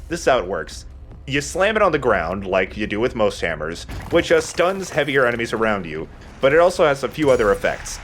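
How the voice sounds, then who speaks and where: A man's voice: mid-range voice, a bit bright, slight background noise. A man giving casual, jokey commentary while he plays a video game, swearing now and then. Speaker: let's play host playing the game. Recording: game music behind the voice. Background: video game music.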